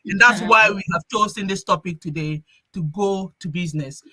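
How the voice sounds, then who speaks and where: A woman's voice: mid-range voice, moderate pace, bright, forceful tone, no room sound. A woman hosting a live-stream group chat, talking in a chatty way and often restarting her phrases. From Ghana. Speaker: host.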